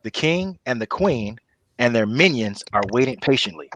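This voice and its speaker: Male narrator, mid pitch, comedy table read, stage directions, clear background noise. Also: lively intonation, normal speed, fairly bright tone. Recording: clearly noisy recording with clicks.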